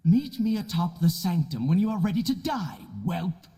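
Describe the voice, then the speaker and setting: A man's voice: high voice, big pitch swings, moderate pace, warm tone, faint music behind. An actor playing a haughty, vengeful elf nobleman in a fantasy video game, with imperious, declamatory delivery. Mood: threatening, furious.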